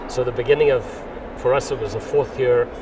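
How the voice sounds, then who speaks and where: Man in his 60s, unhurried interviewee, heard from a distance over loud traffic noise. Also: medium pitch, fairly even intonation. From South Africa. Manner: rambling, restarts often, unhurried. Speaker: interviewee, mycologist. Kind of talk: casual interview chat.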